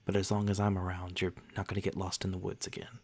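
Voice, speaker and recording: A courteous vampire lord acted by a man speaks softly and fairly low, straight to the listener, as in an ASMR roleplay. His wording is genteel and a little old-fashioned. He comes across as gentle, attentive and protective, reassuring in everything he says. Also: completely flat delivery, fast, slight background noise. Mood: calm, reassuring.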